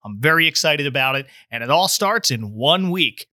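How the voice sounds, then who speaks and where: A man's voice: fairly high pitch, lively intonation, moderate pace, bright, forceful tone, dry recording. A man, a podcaster, reading in a scripted storytelling style. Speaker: podcaster, guest reader. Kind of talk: podcast promo and audiobook-style narration.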